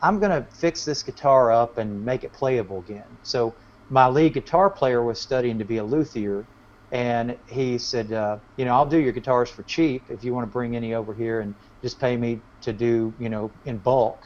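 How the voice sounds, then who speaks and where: A man's voice: mid-range voice, normal speed, a little mellow. A man chatting casually as a musician guest in an interview, telling anecdotes in a rambling way. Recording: dull, lo-fi audio.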